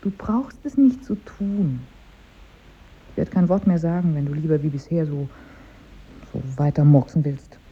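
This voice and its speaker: An actress playing a worried, reproachful wife with a husky voice and a weary, pointed manner, in 1950s radio drama style. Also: female voice, low voice, normal speed, long pauses, dark tone. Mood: hurt, reproachful. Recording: muffled old radio recording.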